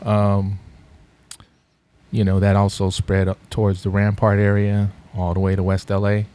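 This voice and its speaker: A middle-aged man from Los Angeles, a podcast guest telling his story in a relaxed, rambling way with lots of fillers. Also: fairly low pitch, normal speed, smooth. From Los Angeles, USA. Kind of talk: casual podcast interview.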